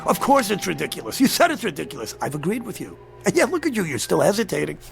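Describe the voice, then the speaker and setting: A man's voice: high voice, very animated, fast, gravelly, exaggerated voice. An older man acting in a radio drama as a sly, gleeful devil posing as a crazy old man, with a wheedling, mock-polite manner. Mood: sly persuasion. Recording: music under the voice.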